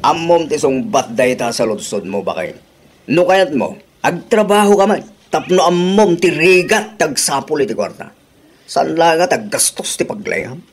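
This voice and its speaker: An actor playing a thrifty, exasperated husband in a radio drama, grumbling reproachfully with an Ilocano accent. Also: male voice, fairly high pitch, expressive, moderate pace, slight background noise, close to an everyday voice. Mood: scolding.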